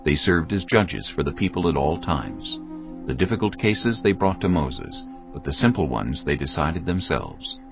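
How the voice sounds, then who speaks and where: Deep male narrator, steady, grave, dull lo-fi, music clearly under. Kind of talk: scripted scripture narration.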